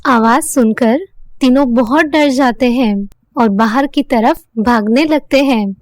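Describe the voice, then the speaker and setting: A woman's voice: fairly high pitch, a little slow, no room sound. A woman giving scripted narration of children's moral stories.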